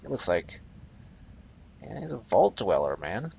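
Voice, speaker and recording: Sarcastic raspy-voiced male game commentator. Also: big pitch swings, measured pace, a little mellow, muffled recording. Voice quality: high, raspy voice. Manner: sarcastic, rambling asides. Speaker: gameplay commentator.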